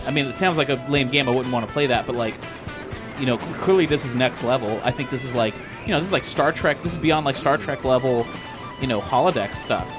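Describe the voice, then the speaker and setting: A man who talks in a rambling, excitable, profane way as a player in a casual tabletop role-playing game. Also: medium pitch, fast. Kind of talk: casual improvised tabletop role-play chat. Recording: music behind the voice. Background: music.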